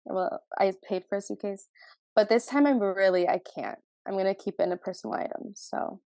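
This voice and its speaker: A woman's voice: medium pitch, fairly even intonation, brisk, vocal fry, dark tone, no room sound. A woman in her twenties, co-hosting a podcast in casual chat. She sounds congested, has a sore throat and laughs often between phrases. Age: 20s. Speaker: podcast co-host.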